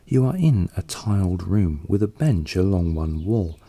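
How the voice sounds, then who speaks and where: Deep, mellow man narrating meditation. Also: creaky, slight background noise. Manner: calm, unhurried, soothing. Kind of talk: slow scripted guided meditation narration. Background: soft background music.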